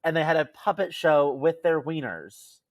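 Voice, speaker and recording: A man's voice: a little high, expressive, moderate pace, no room sound, studio quality. A man co-hosting a podcast, telling stories in an informal, conversational way. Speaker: podcast co-host. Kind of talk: casual podcast chat.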